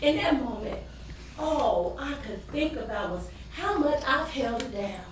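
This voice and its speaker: A weary, embittered wife and mother as a woman acts her out on stage pours out a dramatic monologue. Her pitch swings widely and she takes her time, with heavy pauses. The sound is distant and heavily echoing, as in a theater. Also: animated. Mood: exasperated. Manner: emotive, dramatic, with long pauses and sudden swells. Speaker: stage actor. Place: indoor stage performance space. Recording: roomy echoing theater from afar, faint noise.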